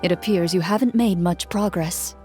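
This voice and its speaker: A woman narrating a fantasy quest in the second person with measured storytelling. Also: lowish voice, darkish tone. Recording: with music behind.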